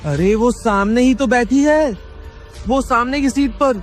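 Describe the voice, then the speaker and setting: Man playing a scared young villager, high voice, slow pleading delivery, cartoon. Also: soft background music. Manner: pleading, insistent. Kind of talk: cartoon voice acting.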